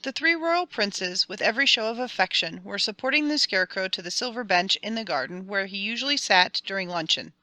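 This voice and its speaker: A woman reads a classic fairy tale aloud with clear, expressive narration. Her tone is bright and forward, the recording dry and close. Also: medium pitch, brisk, few pauses, dry recording. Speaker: audiobook narrator. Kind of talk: dramatic storybook narration.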